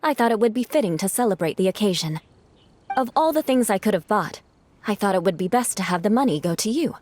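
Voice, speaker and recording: A woman's voice: mid-range voice, lively intonation, fast, a little mellow, slight background noise, lightly acted. A woman playing a proud, reserved young knight captain in a video game, composed, formal and matter-of-fact.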